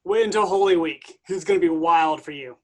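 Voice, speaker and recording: A man playing a smug, flippant Jesus with playful, drawn-out teasing in a staged comedy reading. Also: on the high side, lightly acted. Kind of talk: staged reading of a comic play.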